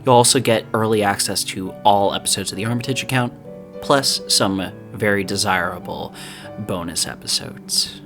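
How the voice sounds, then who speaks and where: Lowish-voiced man making podcast announcements. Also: normal speed. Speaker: podcast host. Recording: music behind the voice.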